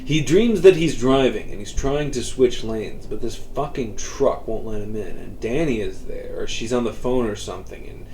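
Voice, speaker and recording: Male story reader, mid-pitched, casual and profane narrative delivery, noisy homemade recording. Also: animated, normal speed, few pauses, darkish tone. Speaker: author reading own story. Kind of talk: scripted narration, audiobook-style fiction reading.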